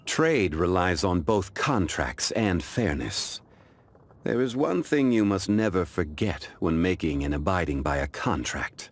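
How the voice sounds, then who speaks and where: A male actor playing a calm, dignified, ancient immortal man in a video game, speaking in a measured, formal, refined way. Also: low voice, full character voice. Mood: solemn. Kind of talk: scripted video game character voice lines.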